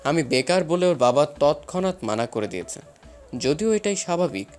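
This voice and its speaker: A man's voice: fairly high pitch, animated, moderate pace, a little mellow, lightly acted. A man playing a protective young elder brother in an audio story. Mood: earnest, resigned.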